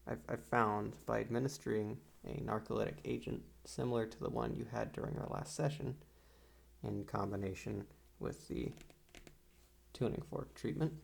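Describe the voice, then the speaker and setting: A man acts out a sinister male psychiatrist for an ASMR roleplay. His voice is low and very creaky, with a husky, breathy edge. He speaks in a measured, clinical way, hesitating softly as if to soothe a patient. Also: exaggerated voice.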